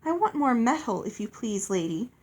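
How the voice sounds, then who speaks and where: A woman's voice: on the high side, big pitch swings, moderate pace, breathy edge. A woman voicing an earnest, polite young girl from a storybook, courteous and pleading.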